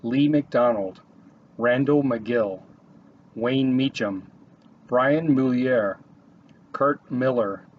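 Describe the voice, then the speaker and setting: A man formally reading out a list of names for a memorial roll call. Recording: dull, noisy with vehicle rumble.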